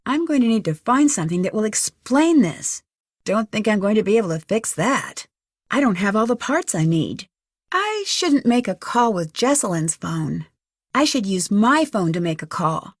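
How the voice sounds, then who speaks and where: A woman playing an inquisitive, level-headed young detective thinking aloud in a video game, earnest and crisp. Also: mid-range voice, big pitch swings, normal speed, no room sound, studio quality. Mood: focused, pondering.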